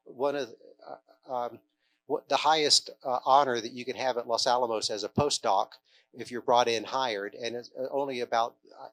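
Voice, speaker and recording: A man speaks off the cuff as an interviewee, with a bright, forward voice at a middling pitch. His delivery is halting, and he often stammers and doubles back on his words. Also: low-quality recording. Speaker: film extra interviewed at an event. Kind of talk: unscripted interview answers.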